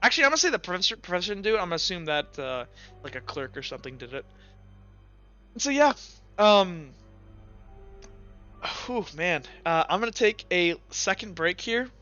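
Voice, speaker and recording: High, bright-voiced man running a game. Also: sing-song, highs cut off, faint music behind. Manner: halting, conversational. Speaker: game master. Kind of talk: casual improvised tabletop game narration.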